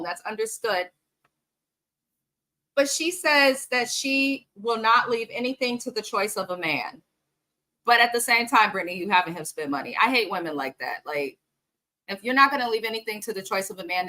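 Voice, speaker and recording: A woman's voice: fairly high pitch, sharp tone, low-quality recording. A woman hosting a casual, frank reality TV recap, chatting straight to her viewers and sniffly from allergies. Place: home in the Bay Area.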